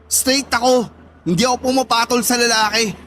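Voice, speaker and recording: A man's voice: very high voice, steady tone, brisk, fairly bright tone, a little hiss or hum. A man acting as an angry, betrayed young man in a Tagalog radio drama, scornful and confrontational, with a Filipino accent. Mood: scornful, disgusted. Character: angry, betrayed young straight man rejecting his friend.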